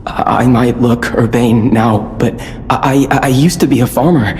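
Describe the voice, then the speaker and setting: A man playing an urbane, hesitant merchant who was once a farmer, with a stammering, halting delivery in a video game. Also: mid-range voice, fairly even intonation, darkish tone, close to an everyday voice. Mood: hesitant, earnest. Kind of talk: scripted game cutscene dialogue. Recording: long reverb, background noise.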